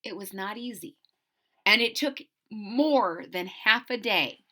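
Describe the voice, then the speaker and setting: A woman reading a children's story aloud with exaggerated, drawn-out emphasis and chatty asides to her listeners. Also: medium pitch, expressive, bright, forceful tone. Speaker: storybook read-aloud host.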